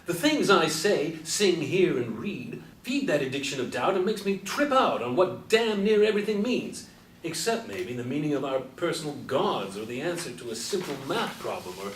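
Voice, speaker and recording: An actor playing an aging, wordy Beat poet in a stage play, brooding and declamatory. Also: male voice, fairly high pitch, big pitch swings, normal speed. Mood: musing, wistful. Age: older. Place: live stage performance recorded from a distance. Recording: roomy, distant mic, faint background noise.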